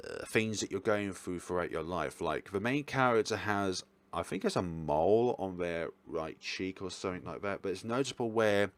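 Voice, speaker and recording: A man giving casual, unscripted game commentary, rambling and hesitant, often correcting himself as he goes. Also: sits low. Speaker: video game reviewer.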